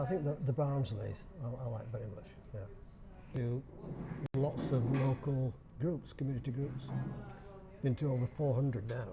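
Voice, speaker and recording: An older man from Bradford with a Yorkshire accent, chatting and explaining in an interview. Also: medium pitch. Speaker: dialect society chairman, guest. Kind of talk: casual interview.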